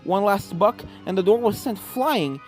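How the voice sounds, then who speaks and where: A woman's voice: medium pitch, expressive, measured pace, a little mellow. A woman narrating a scripted story expressively. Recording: music behind the voice.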